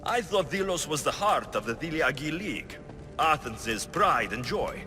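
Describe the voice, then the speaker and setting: An actor playing a confident, wry ancient Greek mercenary hero in a video game, speaking in a direct, dry-humored way. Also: male voice, on the high side, very animated, normal speed, pushed and bright. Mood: questioning. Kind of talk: dramatic video game cutscene acting. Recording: reverberant hall, music behind.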